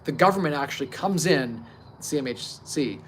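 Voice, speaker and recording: A man's voice: mid-range voice, normal speed. Confident thirty-year-old man, noisy livestream. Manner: confident, informal, mild swearing, emphatic. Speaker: livestream host, real estate investor. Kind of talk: casual livestream monologue on investing. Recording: clear steady background ambient noise.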